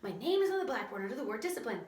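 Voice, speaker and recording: A woman's voice: a little high, very animated, very fast, reverberant. A woman voicing a stubborn, grudging young schoolboy in a storybook reading.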